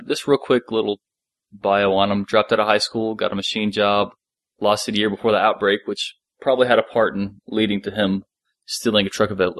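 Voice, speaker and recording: Low-voiced man recapping casually, close and dry. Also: fairly bright tone, dry recording. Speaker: co-host of a fan podcast. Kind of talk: casual episode recap discussion.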